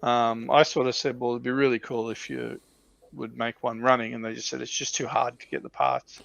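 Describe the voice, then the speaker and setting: A man's voice: mid-range voice, steady tone, a little fast, gravelly, fairly bright tone, no room sound, highs cut off. An Australian man chatting casually on a hobby livestream about military vehicles.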